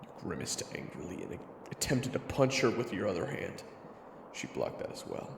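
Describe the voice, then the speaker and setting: A man's voice: sits low, big pitch swings, normal speed, creaky, noisy background. A man giving scripted, dramatic narration for an audio roleplay story. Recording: echoey reverb, music behind.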